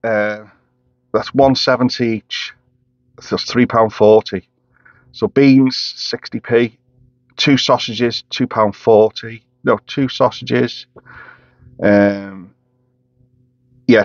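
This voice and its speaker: A man's voice: mid-range voice, steady tone, takes it slowly, pauses often, no room sound. A middle-aged man casually reviewing a breakfast from inside a parked car, rambling with lots of fillers in an upbeat tone. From Wales. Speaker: food vlogger. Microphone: camera on the dashboard. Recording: slightly muffled, dry car cabin.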